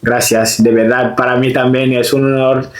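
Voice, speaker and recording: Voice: mid-range voice, flat delivery, normal speed. A podcast host from Guinea interviewing a guest, speaking hesitantly with frequent repeated words. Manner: hesitant, repeats words, many thanks and acknowledgments. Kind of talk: casual interview hosting. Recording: echoey room.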